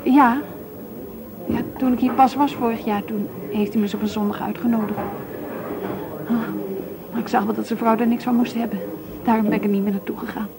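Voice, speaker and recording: Earnest waitress, highish voice. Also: female voice, steady tone, a little fast, creaky, long pauses, poor audio. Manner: earnest, plain-spoken, slightly hesitant. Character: earnest, loyal waitress defending an absent friend. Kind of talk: naturalistic radio drama dialogue. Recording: busy crowd noise around the voice. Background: café chatter.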